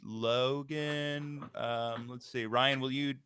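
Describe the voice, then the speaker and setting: A man's voice: mid-range voice, steady tone, slow pace, pushed and bright, a little hiss or hum. A man hosting an informal live script read, talking casually and narrating stage directions. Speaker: host, writer and stage-direction reader of a live table read.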